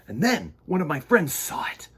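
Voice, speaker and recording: Male storytime host, slightly rough-edged voice, very lively intonation, playful and emphatic read-aloud for young kids. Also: on the high side, moderate pace. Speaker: children's storytime host and reader. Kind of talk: animated picture-book read-aloud.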